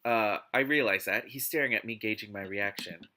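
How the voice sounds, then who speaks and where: A man hosting a live read-aloud of a book, mixing narration with casual, exasperated and expressive commentary. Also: lowish voice, moderate pace. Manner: exasperated, expressive asides while reading.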